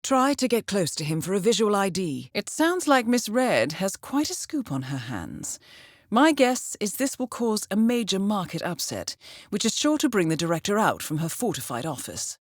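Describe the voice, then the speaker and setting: A woman voicing a composed, coolly professional intelligence handler in a video game, briefing an assassin in a measured, formal, understated manner. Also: mid-range voice, big pitch swings, dry recording, clean studio sound. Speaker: mission handler. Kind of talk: scripted video game mission briefing voice-over.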